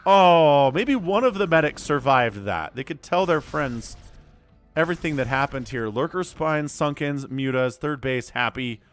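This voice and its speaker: Man, esports commentator, slightly hoarse, stuffy, animated intonation, faint background music. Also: on the high side, a little slow. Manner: excitable, swings between calm analysis and loud exclamations. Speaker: solo game commentator. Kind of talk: live esports play-by-play commentary.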